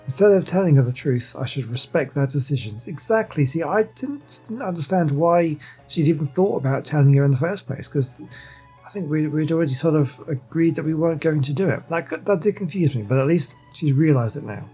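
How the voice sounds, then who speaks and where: A man's voice: fairly high pitch, expressive, brisk, warm tone, slightly rough, low-quality recording. A man commentating on a video game as he plays, thinking aloud and reading dialogue choices out. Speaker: game streamer. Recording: game music behind the voice.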